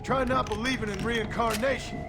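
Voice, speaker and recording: An actor playing a gruff, stoic Old West gunslinger in a video game, speaking tersely with an American accent. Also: male voice, very high voice, fairly even intonation, a little fast, very noisy. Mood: angry, shouting. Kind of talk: video game cutscene acting.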